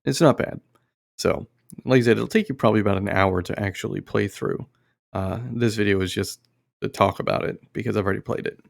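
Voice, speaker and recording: A man hosting casual, unscripted game commentary, halting and rambling as he searches for words. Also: fairly low pitch, gravelly. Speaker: host of an indie game review channel.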